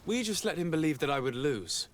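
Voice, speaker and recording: An actor playing an earnest young noble envoy in a video game, speaking directly and with formal wording. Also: male voice, fairly high pitch, lively intonation, fairly quick, natural voice. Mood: firm. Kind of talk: video game cutscene acting.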